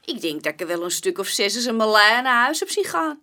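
An actress playing a young, plain-spoken barmaid giving witness testimony in a chatty, colloquial way in a radio play. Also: female voice, a little high, very animated, a little fast, sharp tone. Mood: chatty, forthcoming. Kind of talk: naturalistic radio play dialogue.

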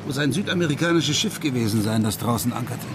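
A man's voice: medium pitch, lively intonation, fast talker, natural voice. Wry ex-detective played by a man, faint noise behind. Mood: wry, reflective. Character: Dutch ex-police detective, wry laid-back man in exile. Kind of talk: radio crime drama dialogue.

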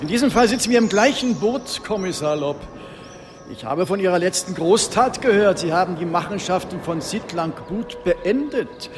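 A man playing a smooth, evasive disgraced official in a radio crime drama, urbane and mock-polite. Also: high voice, animated, moderate pace, faint music behind. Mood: knowing, sardonic.

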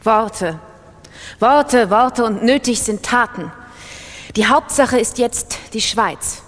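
A woman's voice: on the high side, takes it slowly. A woman playing a ruined, obsessive gambler clinging to hope in a literary radio monologue, fervent and emphatic. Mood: feverish resolve. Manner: fervent, emphatic, self-persuading. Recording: long reverberant hall.

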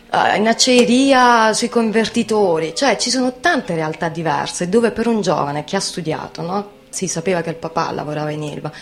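A woman's voice: fairly low pitch, lightly acted. A woman voicing a frank, combative young steelworker, giving testimony in a colloquial, insistent way. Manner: plain-spoken, colloquial, insistent. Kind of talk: conversational testimony monologue in radio theatre.

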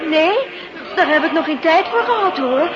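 An actress playing a dutiful, inquisitive wife in a radio play. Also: female voice, very high voice, animated, normal speed. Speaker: actor. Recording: muffled, very noisy crowd scene.

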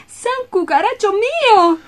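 A woman's voice: extremely high pitch, animated, slow pace, exaggerated voice. An actress playing a small, frightened female insect in radio theatre with squealing, tearful melodrama. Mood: shocked.

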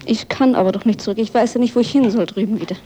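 A woman's voice: fairly high pitch, racing, mellow. A woman explaining her situation as an applicant, pleading in plain words on an old recording. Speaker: refugee applicant. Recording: old recording, clear noise and clicks.

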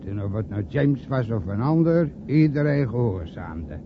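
An actor playing a resentful chauffeur in a radio play, outwardly servile, dry and sarcastic. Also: male voice, mid-range voice, animated, moderate pace, lightly acted. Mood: bitter. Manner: dry, grumbling, mock-polite. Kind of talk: radio play acting in Dutch. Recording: noisy, muffled old radio recording.

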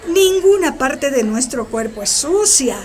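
A woman's voice: high voice, lively intonation, a little slow. A woman playing a cheerful, frank schoolteacher who encourages her class in a radio drama. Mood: encouraging. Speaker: teacher.

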